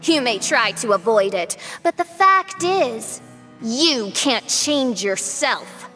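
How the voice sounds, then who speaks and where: A woman's voice: on the high side, lively intonation, slow, a bit bright, faint music behind. A woman voicing a theatrical young princess from an anime game, speaking in grandiose, formal phrases. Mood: menacing, haughty. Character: theatrical young girl princess facing her cruel dark double.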